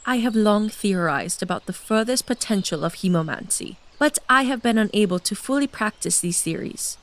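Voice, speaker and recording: An actress playing a seductive, scheming blood queen who has lived hundreds of lives, speaking in a measured, intimate way in a fantasy audio drama. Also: medium pitch, animated, natural voice. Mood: conspiratorial. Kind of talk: full cast audio drama.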